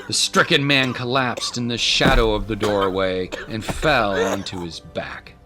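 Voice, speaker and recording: A man's voice: on the low side, fairly even intonation, unhurried, music in the background. A man narrating a scripted story in a dramatic storytelling style.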